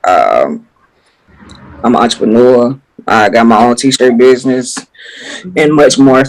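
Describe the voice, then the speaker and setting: A man's voice: medium pitch, expressive, a little slow, poor audio. Easygoing man in his thirties. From Birmingham, Alabama. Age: 30s. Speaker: podcast guest.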